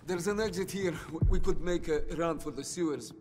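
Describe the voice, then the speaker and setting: An actor playing an earnest, anxious young Russian soldier in a video game cutscene, speaking with a Russian accent. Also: male voice, fairly high pitch, moderate pace, noisy background, lightly acted. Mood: tense, urgent. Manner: earnest, emotionally expressive.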